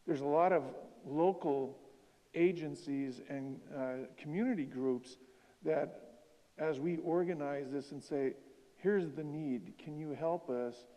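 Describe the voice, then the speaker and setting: A man leads a church seminar in a mellow, soft-toned voice. He talks earnestly and plainly, pausing now and then with an "uh". The long echo of a church hall hangs around his words. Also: on the high side. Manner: earnest and plainspoken, with occasional "uh" pauses. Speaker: seminar organizer. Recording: echoey hall.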